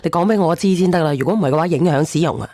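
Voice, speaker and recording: A woman's voice: on the low side, racing, warm tone, no echo. Cantonese woman host, close dry sound. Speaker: call-in show host. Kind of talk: casual call-in chat.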